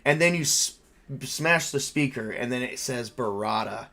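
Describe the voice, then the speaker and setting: A man's voice: mid-range voice, lively intonation, normal speed, creaky, sharp tone. A man running a tabletop roleplaying game, narrating and joking casually with the other player.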